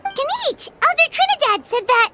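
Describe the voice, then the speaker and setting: A woman voicing a small, excitable, childlike fairy companion in a video game, squeaky, chatty and nosy. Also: normal speed. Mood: eager. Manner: speaks of self in third person, exclamatory. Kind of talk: video game character acting. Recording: music behind the voice. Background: game music.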